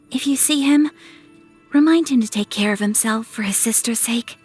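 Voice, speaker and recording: A young woman playing a refined, dutiful, gentle noblewoman in a video game, speaking with formal, courteous, measured phrasing. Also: on the high side, breathy edge. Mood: earnest, wistful. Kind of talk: scripted video game character dialogue. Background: music.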